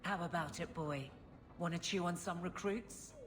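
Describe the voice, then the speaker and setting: A woman's voice: fairly low pitch, normal speed, loud music behind the voice, natural voice. An actress playing a practical, friendly female guard captain in a fantasy video game, affectionate and no-nonsense. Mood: fond, brisk. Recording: loud background noise.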